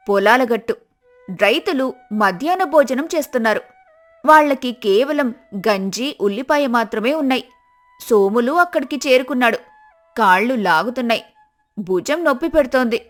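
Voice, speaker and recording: A woman's voice: a little high, expressive, normal speed, long pauses, faint music behind. A woman narrating a scripted folk tale as storyteller.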